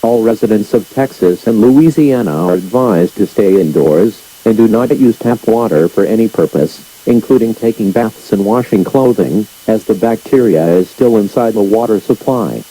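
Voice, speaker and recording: A man's synthetic-sounding voice as an emergency alert announcer, reading stiffly and impersonally, muffled and husky. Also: fairly low pitch, moderate pace, few pauses, mellow. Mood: impersonal, grave. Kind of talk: scripted emergency broadcast reading.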